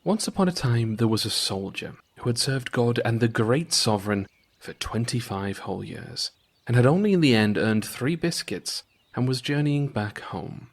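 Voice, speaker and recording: A male storyteller reads an old folktale as a guest narrator. His voice sits at a middle pitch, and his delivery is measured and theatrical. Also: expressive.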